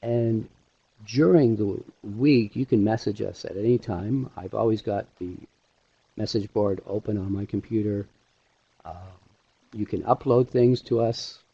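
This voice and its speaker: A middle-aged man giving an informal online presentation as an instructor, speaking haltingly with pauses mid-sentence through a headset mic. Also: lowish voice, dark tone, slightly rough, dry recording. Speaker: animation instructor hosting a webinar. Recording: dull, lo-fi webinar audio.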